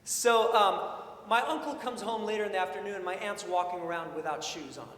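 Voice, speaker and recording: A man preaching a sermon in an informal, expressive way, with storytelling and questions repeated for emphasis. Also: high-pitched, moderate pace. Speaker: preacher, priest. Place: large indoor church sanctuary. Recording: echoey church hall, long reverb, faint noise.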